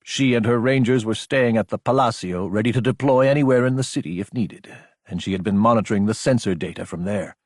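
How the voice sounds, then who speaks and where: A man's voice: fairly low pitch, brisk, darkish tone, no room sound, studio quality. A man narrating a science fiction action audiobook from a script.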